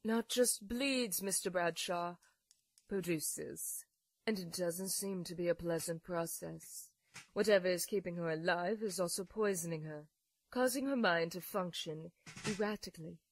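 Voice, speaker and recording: A woman playing a composed, clinical nurse giving measured, formal reports in a horror audio drama. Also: fairly low pitch, no echo. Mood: firm, explanatory.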